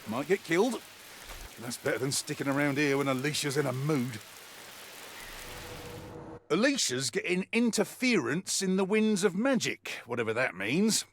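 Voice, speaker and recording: An actor playing a wry, world-weary mercenary soldier in a video game, with a colloquial, grumbling delivery. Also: male voice, fairly high pitch, a bit bright, a little hiss or hum. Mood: dry, resigned. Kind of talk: scripted in-character mission briefing monologue.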